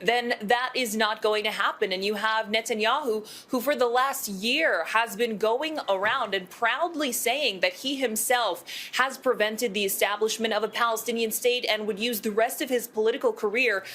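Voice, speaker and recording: Woman reporter on tv news, slightly high voice, calm formal report. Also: normal speed, few pauses. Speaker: news correspondent.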